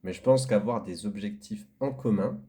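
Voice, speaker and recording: Low-voiced, relaxed man. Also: normal speed. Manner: relaxed, agreeing, loose with fillers. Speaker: podcast co-host. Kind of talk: casual chat.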